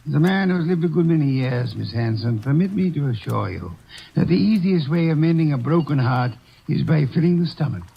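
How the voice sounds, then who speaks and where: An actor playing a portly, unflappable older detective who speaks in a measured, pompous way, in 1940s radio acting. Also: male voice, on the high side, animated, creaky, husky, heavily acted. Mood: smug. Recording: dull old radio broadcast recording.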